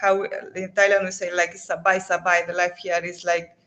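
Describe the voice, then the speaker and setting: A woman's voice: on the low side, level tone, fairly bright tone. Woman guest on video call, English, hesitant phrasing, casual interview. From Greece. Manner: halting, simple English with pauses. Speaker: guest fighter.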